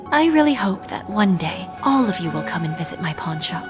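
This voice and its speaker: An actress playing a calm, calculating female pawnbroker in a video game, speaking softly in a measured, polite and quietly menacing way. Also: mid-range voice, natural voice. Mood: inviting, sly. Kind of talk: scripted video game dialogue. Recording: game audio with music behind.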